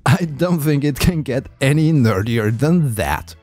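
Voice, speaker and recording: Lively man narrating a space vlog. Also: mid-range voice, unhurried, soft background music. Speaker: space video creator.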